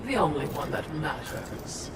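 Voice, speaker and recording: Fawning demonic watcher, low and slow. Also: female voice, animated. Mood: gushing. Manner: oily, gushing, theatrical. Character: fawning, sly demonic watcher, obsequious servant. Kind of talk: video game cutscene acting. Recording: distant, echoing, loud background noise.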